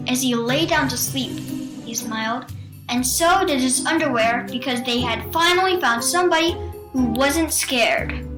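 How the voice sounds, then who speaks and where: A woman with a high-pitched voice narrates a spooky picture book for children. She takes her time, pausing deliberately for suspense and playing up the drama. Music runs clearly underneath her reading. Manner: theatrical, suspenseful, with deliberate pauses. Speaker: children's storybook reader.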